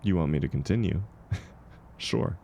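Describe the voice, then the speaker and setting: Casting: low-voiced, mellow drifter, acted by a man, world-weary but kind, gently encouraging in an intimate comfort roleplay; faint noise behind. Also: expressive, gravelly. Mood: easygoing, amused. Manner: gentle, encouraging, unhurried, chuckling often.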